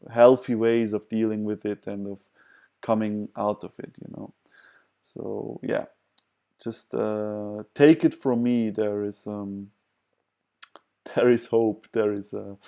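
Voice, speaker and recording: A man who is not a native English speaker gives unscripted personal advice in a hesitant, halting way, pausing and repeating words. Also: fairly low pitch, creaky, warm tone. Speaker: video presenter sharing personal advice. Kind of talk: unscripted advice talk to camera.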